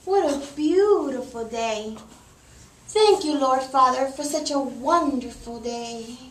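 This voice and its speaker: A high, unhurried female voice acted by a woman plays a devout young bride-to-be in a puppet show. She is gentle and plainly spoken, heard from far off in a noisy, echoey room. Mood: devout, wondering. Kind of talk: amateur Christmas puppet show acting and narration. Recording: distant, echoey room, noisy live recording.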